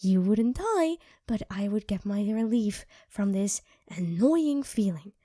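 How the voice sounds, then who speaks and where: A woman voicing an irritable young female vampire who craves blood, speaking in a sharp, sarcastic way and often dropping to a whisper. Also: mid-range voice, animated, slow, no room sound, studio quality. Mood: craving. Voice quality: drops into soft whispers. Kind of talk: ASMR roleplay.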